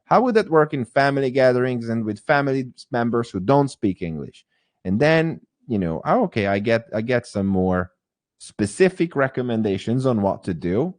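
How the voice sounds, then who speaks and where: A man's voice: mid-range voice, big pitch swings, normal speed, no room sound. A man teaching a live online course, talking in a hesitant way with many fillers and restarts. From Hungary. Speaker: AI course instructor.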